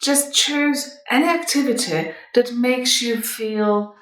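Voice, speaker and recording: A middle-aged woman giving advice to viewers as a vlog host, in a measured, explanatory manner. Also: a little high, a bit bright. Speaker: communication coach and vlog host. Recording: echoey room, distant microphone.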